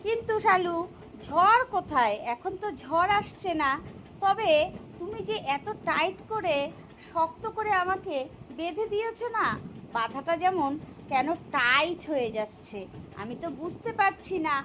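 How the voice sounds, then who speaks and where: A woman voicing a hungry, gullible tiger in an animated children's story, bossy but easily scared, with drawn-out delivery. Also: very high-pitched, slight background noise, full character voice, low-quality recording. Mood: puzzled.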